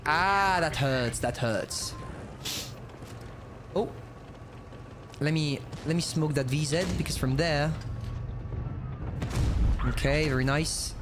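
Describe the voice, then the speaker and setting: High-voiced French guy streaming a game. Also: slow, pauses often. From France. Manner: casual, rambling, talks to himself. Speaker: gaming streamer. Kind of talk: live gameplay commentary, chatting with viewers. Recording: noisy, glitchy mic cutting out.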